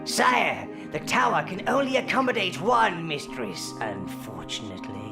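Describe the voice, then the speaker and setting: Creaky, fawning old gremlin servant. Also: male voice, high-pitched, big pitch swings, moderate pace, exaggerated voice. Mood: servile. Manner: obsequious, leering. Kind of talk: video game character acting. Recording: game music behind.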